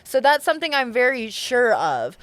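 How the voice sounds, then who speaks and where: A young Texan woman talks loosely and frankly, full of slang and cursing, with easy laughter running through her speech. Her voice sits fairly high and is recorded close and dry, with no room sound around it. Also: sing-song, normal speed, a bit bright, dry recording. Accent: Texas. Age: young adult. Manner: candid, slangy and swearing, laughs often. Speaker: guest. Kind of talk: casual chat.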